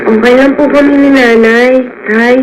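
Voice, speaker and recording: A woman's voice: a little high, fairly even intonation, moderate pace, close to an everyday voice. A woman playing an earnest, devoted teenage daughter in a Filipino radio drama, pleading and respectful. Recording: noisy, hazy radio broadcast.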